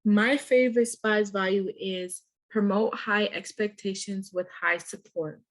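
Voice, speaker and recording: Relaxed teenage girl from Minnesota. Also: mid-range voice, a little slow. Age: teens. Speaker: youth leader presenter. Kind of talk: scripted youth talk.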